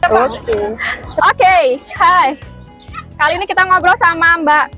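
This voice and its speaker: A woman's voice: very high voice, slow pace, pushed and bright, soft background music. A woman hosting a casual interview chat, echoing back what her guests say. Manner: echoes the guests' answers back. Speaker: host of a vlog, interviewer. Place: picnic gathering with friends.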